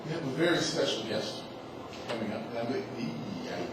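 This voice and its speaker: A man emceeing an event on stage, chatting loudly and joking with the audience. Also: mid-range voice, fairly quick. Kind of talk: casual stage banter. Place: theater stage before a live audience. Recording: echoey hall, loud noise, distant.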